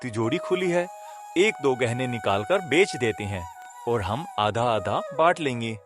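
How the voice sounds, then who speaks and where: Man acting a sly, greedy mid-pitched servant. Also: expressive, a little slow, close to an everyday voice. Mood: scheming. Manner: conniving, coaxing. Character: sly, greedy male veteran servant tempting to steal. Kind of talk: Hindi cartoon story dialogue. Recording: clear background music under voice.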